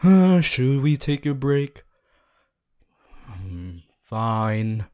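A man voicing an earnest, fussy but caring student class president in an ASMR roleplay, gently bossy and often stumbling over his words. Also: mid-range voice, very animated, extremely slow. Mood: gently nagging. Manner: hesitant, repeating himself, gently bossy. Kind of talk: ASMR audio roleplay addressed to the listener.

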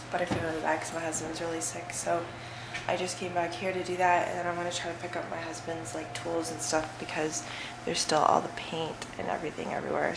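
A woman's voice: fairly low pitch, gravelly. A young woman vlogging casually as she walks through an empty house, rambling with lots of fillers, filming on a handheld camera. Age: young adult. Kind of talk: casual vlog. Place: empty house with bare rooms, indoors. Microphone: handheld camera at arm's length. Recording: echoey empty room, audible noise.

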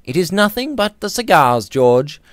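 Guy doing a languid young victorian gent, raised pitch, dramatic, audiobook style. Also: sing-song, normal speed, fairly bright tone, no room sound. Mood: peevish. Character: languid young gentleman barrister.

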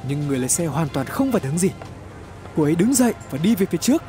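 A male narrator tells a dramatic horror tale with plenty of suspense. His voice sits high for a man and swings widely in pitch, yet the tone stays mellow. Faint music plays behind him. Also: very animated, fast talker. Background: background music.

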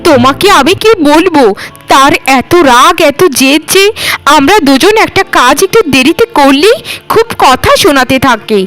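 A woman's voice: sits high, lively intonation, moderate pace, a bit bright, low-quality recording. A woman playing a wronged young wife in a cartoon melodrama, earnest and plaintive, pleading with emphasis. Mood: protesting, puzzled. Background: background music.

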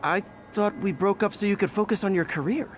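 An actor playing a young, quippy, well-meaning superhero in a video game, awkward and self-deprecating. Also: male voice, high-pitched, fairly quick, a little hiss or hum, lightly acted. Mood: flustered. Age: young adult. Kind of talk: naturalistic video game acting.

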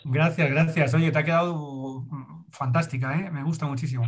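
A man's voice: fairly high pitch, fairly even intonation, moderate pace. A middle-aged man, a watercolor teacher, giving encouraging critiques of students' paintings over a video call from a room at home, often repeating himself as he searches for words.

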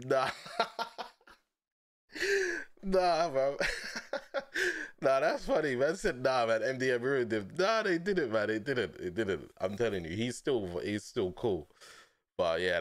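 A man, chatting casually on a stream from a small dim room through a headset, telling stories in relaxed slang and laughing often. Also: mid-range voice, measured pace, dry recording, clean studio sound. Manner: laid-back slangy storytelling, laughs often.

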